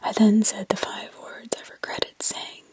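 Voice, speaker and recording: A woman whispering a first-person horror story in a hushed, unhurried way. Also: no room sound. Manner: hushed, slow, breathy storytelling. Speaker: narrator.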